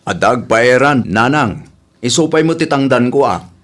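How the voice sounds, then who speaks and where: Mid-pitched dutiful son, acted by a man. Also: animated, a little slow, fairly bright tone. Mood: respectful. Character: dutiful, eager hardworking adult son. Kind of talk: radio drama acting.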